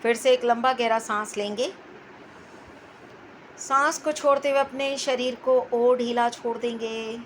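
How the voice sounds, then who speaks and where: Slow, higher-pitched woman guiding meditation. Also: fairly even intonation, a little hiss or hum, rough recording. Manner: soothing, repetitive, unhurried phrasing with pauses. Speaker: guided meditation instructor. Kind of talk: guided meditation, slow spoken instructions.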